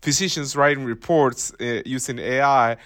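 Man, first language Spanish, who thinks aloud on a podcast panel; halting delivery, middle pitch. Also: fairly bright tone. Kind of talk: conversational discussion.

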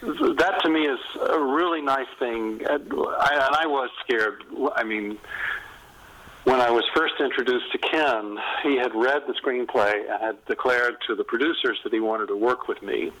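A man's voice: medium pitch, moderate pace, a bit bright, poor audio. A man speaking as an author guest in a conversational podcast interview, telling stories candidly.

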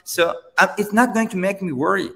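A man whose first language is Persian, a seminar trainer answering interview questions in halting English with many restarts. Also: high-pitched, creaky, long reverb tail. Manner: halting, many restarts, non-native grammar, animated when pressed. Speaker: seminar trainer and company founder.

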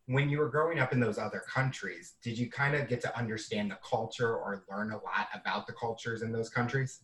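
A man's voice: medium pitch, fairly even intonation, a little fast, fairly bright tone, recorded from a distance. A young adult man hosting an interview podcast, asking friendly, long questions. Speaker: podcast host.

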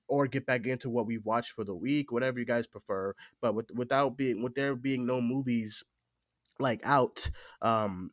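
A man with a stuffy cold hosts a casual podcast, talking in a halting way that often doubles back on phrases. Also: medium pitch, no room sound, clean studio sound. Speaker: podcast host.

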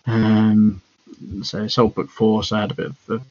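A man co-hosting a podcast, chatting casually and a bit rambly with a husky voice. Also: lowish voice, completely flat delivery, moderate pace, a little mellow. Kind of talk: casual hobby chat. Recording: dull lo-fi audio.

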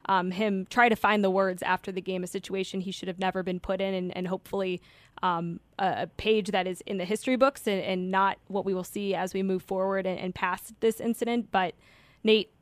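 Calm, level-voiced woman co-host. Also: mid-range voice, no echo. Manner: calm, measured, hands the turn to a co-host. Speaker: podcast co-host. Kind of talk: casual chat.

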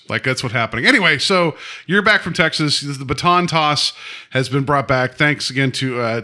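Jokey male co-host, crisp bright tone, loose rambling podcast chatter. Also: medium pitch. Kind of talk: casual comic banter. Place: office used as recording studio.

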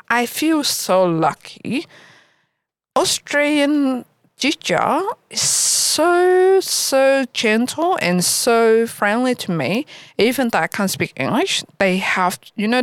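A woman from Vietnam with a Vietnamese accent, speaking haltingly as a guest in an interview in a library. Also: fairly high pitch, animated, dry recording. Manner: halting, searching for words. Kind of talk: interview answers. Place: local library.

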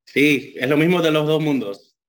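A man from Ecuador and the United States, a guest in a casual interview, speaking halting Spanish with a Dominican-tinged accent and dropping into English. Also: fairly high pitch. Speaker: interview guest, musical performer.

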